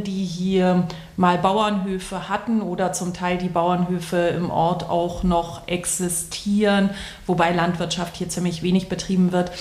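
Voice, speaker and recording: A woman's voice: mid-range voice, darkish tone. A middle-aged woman pastor from Bavaria answers interview questions in fluent standard German, explaining at length. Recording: echoey room.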